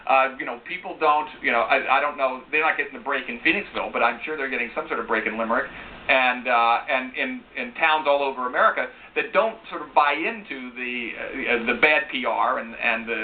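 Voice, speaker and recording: A narrow, lo-fi broadcast recording carries a man with an average-pitched voice over faint noise. He talks as a panelist on a live call-in show, working through his points in a halting, rambling way. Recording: tinny lo-fi broadcast with hiss.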